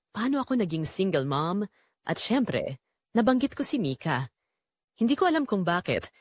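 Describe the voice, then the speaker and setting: Woman acting as struggling single mother, weary but hopeful, confessional audio drama narration, emotive, animated intonation, mid-pitched, dry studio-like sound. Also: dry recording. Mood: reflective. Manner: confessional, emotive, addressing listeners.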